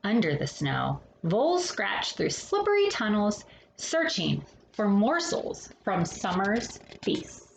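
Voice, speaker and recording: A woman hosting a storytime, reading a children's picture book expressively with dramatic pauses and casual asides. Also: medium pitch, creaky. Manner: animated, dramatic pauses between phrases. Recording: roomy echo with faint background noise.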